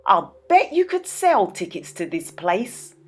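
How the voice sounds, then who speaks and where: A woman reading a children's story, voicing an excitable, friendly young girl in an expressive, exclaiming way. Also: medium pitch, measured pace, slight background noise. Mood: bright, friendly. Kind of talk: children's storybook read-aloud.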